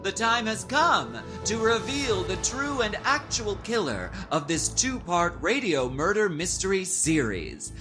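Man playing a pompous high-voiced drag queen host. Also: big pitch swings, unhurried, hardly any pauses. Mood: pompous, dramatic. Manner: melodramatic, grandly announcing. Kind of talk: campy comic radio play acting. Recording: music under the voice.

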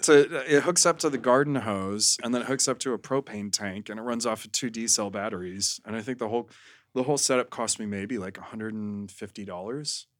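A man's voice: on the low side, fairly quick. A man chatting casually as a podcast guest, telling stories in an easygoing way and acting out the lines he quotes. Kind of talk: casual chat, storytelling.

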